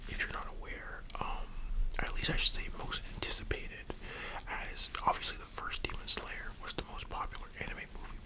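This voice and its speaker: Whispered ASMR host, breathy and unvoiced. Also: poor audio. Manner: soft-spoken rambling, addresses the listener directly. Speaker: host of an ASMR discussion channel. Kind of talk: whispered ASMR chat, casual movie discussion.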